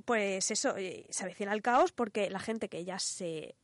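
A woman's voice: medium pitch, normal speed, dry recording. A woman with an accent from Spain co-hosts a radio programme, explaining things step by step in casual, conversational language. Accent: Spanish from Spain. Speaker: radio programme co-host presenting a segment.

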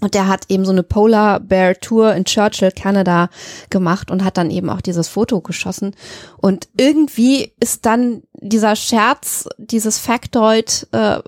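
A woman's voice: medium pitch, darkish tone, dry recording, clean studio sound. A woman explaining things in casual chat as a podcast co-host.

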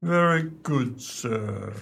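An actor playing an unflappable, discreet English valet in a radio play, courteous, measured and deferential. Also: male voice, mid-range voice, animated, creaky, fairly bright tone, exaggerated voice. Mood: composed, deferential.

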